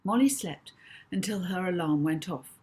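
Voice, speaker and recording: A woman's voice: lowish voice, animated, moderate pace, a little mellow, husky. A woman narrating a children's novel as its author, in scripted audiobook style. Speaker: author reading own novel.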